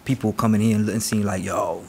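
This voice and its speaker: A man's voice: on the low side, fairly even intonation, a little fast, a little mellow. A man from Aruba hosting a podcast, talking in a laid-back, slangy way and often repeating words as he starts sentences. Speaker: podcast host. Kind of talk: casual interview chat.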